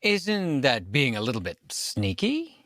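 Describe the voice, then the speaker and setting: A man's voice: mid-range voice, wildly swooping pitch, measured pace, a bit bright, no room sound, clean studio sound, full character voice. A man acting a skeptical, unimpressed colleague in an old-time radio comedy.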